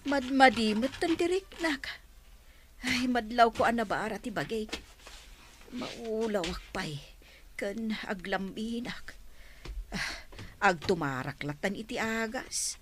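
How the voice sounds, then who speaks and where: An actress with an Ilocano accent playing a stingy, combative village store owner in a radio comedy drama. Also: female voice, fairly high pitch, slow, pauses often, a little hiss or hum. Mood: weary, self-pitying. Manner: combative, sharp-tongued. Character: stingy, quarrelsome village store owner woman, scolding neighbours.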